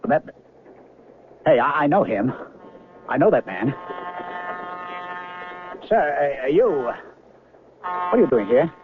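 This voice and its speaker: Agitated scientist on hissy vintage radio. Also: male voice, fairly high pitch, very animated, narrow, tinny audio. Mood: insistent. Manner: agitated, halting, stammering. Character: cynical, bewildered male scientist pleading for answers. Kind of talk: 1970s radio drama acting. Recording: thin band-limited vintage radio recording, hissy.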